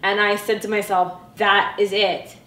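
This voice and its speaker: A woman's voice: medium pitch, flat delivery, measured pace, sharp tone, reverberant, low-quality recording. A woman who coaches women in empowerment, speaking earnestly and reflectively in a documentary interview and building emphasis through repetition.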